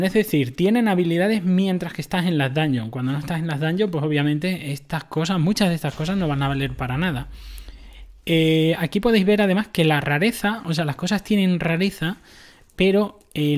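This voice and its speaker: A man's voice: fairly high pitch, a little mellow. A man giving casual commentary on a game, explaining items to his viewers. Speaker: gaming streamer.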